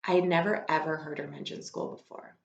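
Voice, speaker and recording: A woman reading a story aloud like a teacher to students, expressive and informal, with casual asides. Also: deep voice, a little fast, creaky. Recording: echoey room, slightly dull lo-fi sound.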